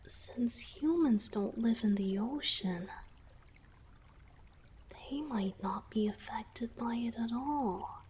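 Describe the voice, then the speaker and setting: A woman voicing a gentle young merman boyfriend in a romantic ASMR roleplay, soft-spoken and hesitant, often slipping into breathy whispers. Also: a little high, a little hiss or hum.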